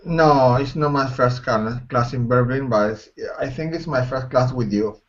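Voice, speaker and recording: A man, an English learner speaking hesitantly with many pauses and apologies. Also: mid-range voice, slight background noise. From Spain. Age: 40s. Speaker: student. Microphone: video call. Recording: muffled video call audio.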